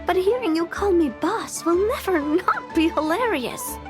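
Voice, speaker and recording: Playful young boss, video game: very high register, teasing. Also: female voice, animated, a little slow, music in the background. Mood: amused. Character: playful, amused young female gang boss.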